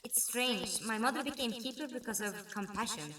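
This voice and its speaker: A woman playing a kind, concerned companion in a sci-fi audio drama, with a gentle, hesitant manner. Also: on the high side, a little fast. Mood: wistful. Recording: echoey, distant, faint noise.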